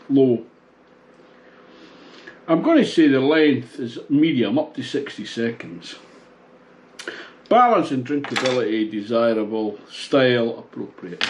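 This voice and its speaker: An older man in his sixties talks slowly and unhurriedly, musing aloud with frequent pauses as he reviews a beer. He sounds relaxed and casual, picked up by a camera across the table in a small home room with a faint hum behind him. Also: medium pitch.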